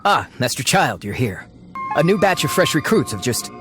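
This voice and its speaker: A man's voice: medium pitch, very animated, normal speed, natural voice. A man playing a deferential, dutiful soldier in a video game, speaking formally and respectfully to his superior. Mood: hesitant. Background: prominent background music.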